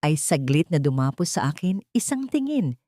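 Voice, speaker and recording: Filipino accent lady narrating a dramatic story, kinda low voice, super dry audio. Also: big pitch swings, normal speed, mellow, no room sound. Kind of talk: scripted dramatic first-person narration.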